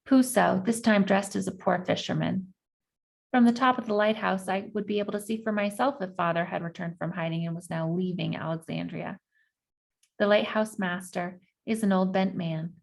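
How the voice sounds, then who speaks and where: A woman reading a children's historical novel aloud in the first person, with expressive delivery and the odd aside to her listeners. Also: medium pitch, vocal fry.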